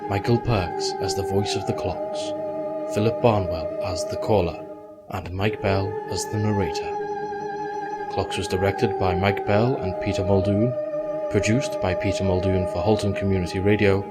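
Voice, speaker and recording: A man's voice: sits low, big pitch swings, normal speed. A man reading scripted closing credits as the narrator of an audio drama. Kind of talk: scripted announcement. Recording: loud music under the voice.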